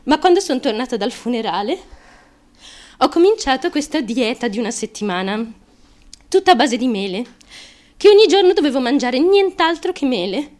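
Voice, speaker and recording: High-voiced, unhinged young woman confessing. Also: big pitch swings, a little mellow. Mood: fixated, confessional. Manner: halting, obsessive, repetitive, swinging into outbursts. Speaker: stage actress. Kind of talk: dramatic stream-of-consciousness monologue.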